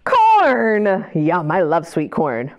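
A middle-aged American woman hosting a library storytime, reading aloud to young children in an expressive, sing-song way and drawing them in with questions. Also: lowish voice, drawn-out words, slight background noise. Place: indoor library children's area. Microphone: lapel mic.

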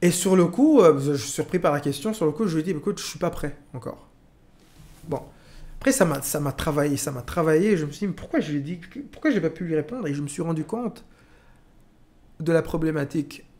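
A man in his forties from Rwanda, talking slowly and hesitantly with many restarts, recorded on a studio boom mic in a small room. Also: lively intonation, a little mellow. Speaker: podcast host. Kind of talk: reflective conversation. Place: small room with pink walls, indoors. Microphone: studio mic on boom arm.

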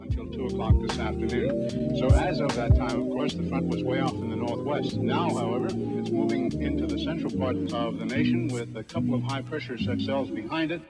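A man gives a broadcast weather report at a quick clip. His mid-pitched voice moves around in a lively way, and loud music plays along with the archival clip. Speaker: radio weather forecaster.

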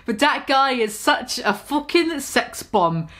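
Young woman, high-pitched, theatrical, mocking, comic dramatic reading, small room. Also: very animated, takes it slowly, fairly bright tone. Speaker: video host reading fan fiction.

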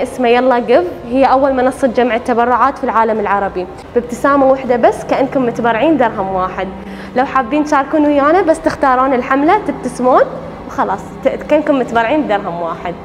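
A woman, guiding visitors through an exhibit at a rushed pace. Her voice is high, with soft music behind her. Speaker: pavilion guide.

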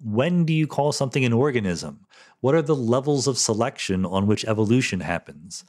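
A man's voice: medium pitch, lively intonation, a little fast, a little mellow. A middle-aged man hosting a podcast, answering listener questions in a thoughtful, explanatory solo monologue, often checking in with "right?".

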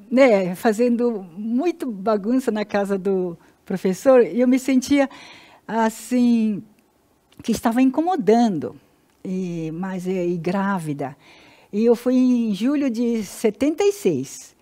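A woman in her sixties from Paraná with a Brazilian Portuguese accent, telling her life story as an interview guest in a halting, earnest way. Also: mid-range voice, mellow. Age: 60s. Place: indoor TV-style studio.

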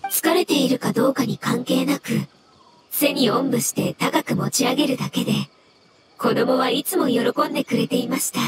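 Woman voicing a gentle, high-voiced fungus creature. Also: heavy background noise, lightly acted. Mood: gentle, caring. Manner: courteous, measured, formal phrasing. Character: gentle, polite elemental creature in jellyfish-like fungus form. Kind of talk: scripted game dialogue.

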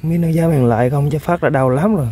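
An outdoors man talks his viewers through his catch with excited exclamations. His voice is slightly high yet mellow and soft-edged. Faint noise sits under the recording. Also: fast. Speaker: fishing vlogger. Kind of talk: casual running commentary. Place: outdoors by a muddy riverbank.

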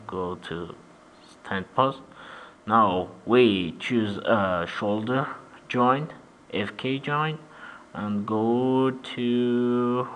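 A man from Armenia with an Armenian accent, guiding a software tutorial in halting, simple English with many "uh-huh" fillers. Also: lowish voice, slight background noise. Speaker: 3D animation tutor. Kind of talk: screen-recorded software tutorial.

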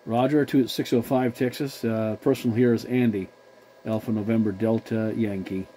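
A man chatting as a CB radio operator, courteous and measured, using formal call-sign procedure. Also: lowish voice, steady tone, heavy background noise. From Newfoundland, Canada.

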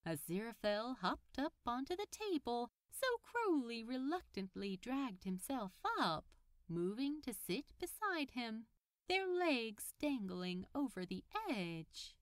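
A woman's voice: a little high, big pitch swings, slow, no room sound. Woman, scripted story narration, leisurely pace, dry close recording. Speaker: audiobook narrator.

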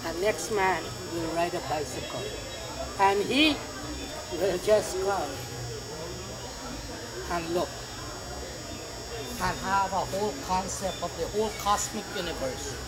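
A man's voice: high voice, takes it slowly, long pauses, crowd noise. An older man at an indoor market, speaking earnestly and preachily about spiritual truth. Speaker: market visitor. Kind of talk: casual debate. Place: large indoor flea market hall. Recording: busy crowded hall behind.

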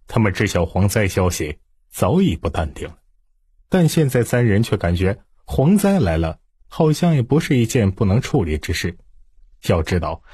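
A man's voice: fairly low pitch, dark tone, husky, no echo. A man narrating historical fiction in a dramatic scripted audiobook style. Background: music.

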